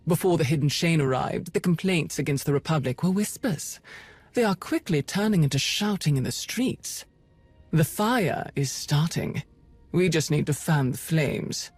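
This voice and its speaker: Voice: mid-range voice, animated, moderate pace, breathy edge, no room sound. An actor playing a coolly superior imperial Sith schemer in a video game, speaking formally and precisely. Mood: scheming. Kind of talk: video game dialogue acting. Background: music.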